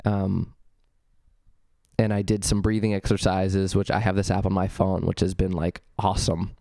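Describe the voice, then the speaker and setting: A young man hosting his own podcast, talking casually and a bit gravelly from a cold, with lots of ums and restarts as he rambles. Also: low-pitched, flat delivery, moderate pace, mellow, no echo, clean studio sound. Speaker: podcast host. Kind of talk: casual solo podcast talk. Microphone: studio vocal mic.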